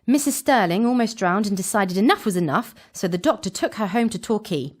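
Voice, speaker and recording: A woman's voice: medium pitch, expressive, a little fast, close to an everyday voice. A woman playing a frank young time-travelling companion, narrating an audio drama recap with dramatic storytelling delivery.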